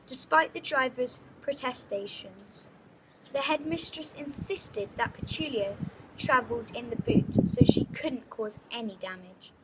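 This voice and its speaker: A woman reading a children's book aloud as an expressive storytelling narrator. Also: high voice, measured pace, heavy background noise.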